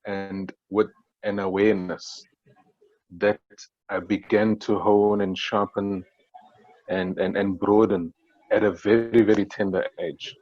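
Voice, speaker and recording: A South African man speaking on a panel, reflective and halting, with many fillers and restarts. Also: lowish voice, a little mellow, no room sound, highs cut off. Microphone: video call.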